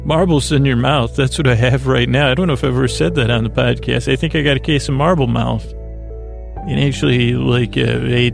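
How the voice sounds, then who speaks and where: An American man with a creaky voice hosting a sleep podcast, rambling in a halting, reassuring way as he speaks straight to the listener. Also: mid-range voice. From Syracuse, New York, United States. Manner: meandering, halting, stumbling over words, reassuring. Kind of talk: rambling bedtime monologue, addressing the listener.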